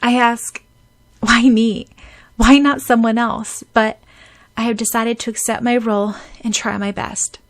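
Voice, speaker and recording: A woman's voice: fairly high pitch, little pitch movement, measured pace, voice pushed up. A woman voicing a tearful, determined young heroine. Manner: emotional, pleading, raised voice.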